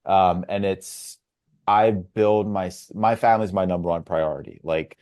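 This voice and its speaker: A man's voice: sits low, fairly even intonation, measured pace, a little mellow. A man in his forties with an American accent, speaking in an earnest, reflective way in a casual roundtable. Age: 40s. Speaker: podcast panelist.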